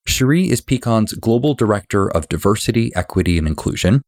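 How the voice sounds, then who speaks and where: A man's voice: on the low side, animated, brisk, a little mellow, dry recording, studio quality. A man hosting a workplace podcast, reading a scripted intro and then asking earnest, conversational questions. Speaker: podcast host.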